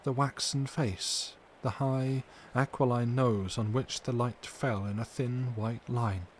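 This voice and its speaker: A man voicing a distressed but tender and brave young wife, recounting in an earnest, measured, formal way for an audiobook. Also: mid-range voice, lightly acted. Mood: troubled recounting. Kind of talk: dramatic audiobook character reading. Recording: steady background noise.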